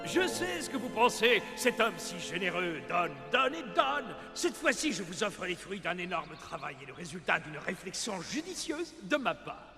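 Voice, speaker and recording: An actor playing a pompous, self-important official making grand ceremonial announcements in an animated film. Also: male voice, sits high, normal speed, hardly any pauses. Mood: boastful, grand. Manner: grandiose, theatrical public announcing. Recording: long hall reverb, music behind.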